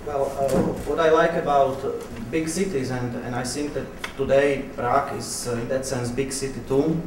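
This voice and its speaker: A man from Slovakia with a Slovak accent, speaking as a panel guest, halting and repeating words as he searches. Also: medium pitch, steady tone. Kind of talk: unscripted panel answers. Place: public event room, recorded at a distance. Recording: distant echoey hall, clearly noisy.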